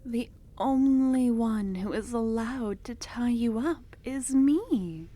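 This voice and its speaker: A woman's voice: on the high side, lively intonation, takes it slowly. Woman playing a whispering possessive yandere cultist. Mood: soothing, possessive. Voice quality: breathy whisper. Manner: soft half-whispered, coaxing and soothing. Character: obsessive, possessive young woman cultist, sweetly unhinged. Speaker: ASMR roleplay performer. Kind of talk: ASMR fantasy roleplay.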